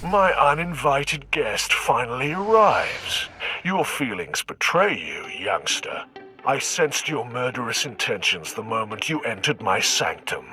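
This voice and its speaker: A man's voice: mid-range voice, sing-song, a little slow, pushed and bright, soft background music. A man voicing a proud, sinister Sith lord in a video game, imperious and grandiose with a menacing manner. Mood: sinister, scornful.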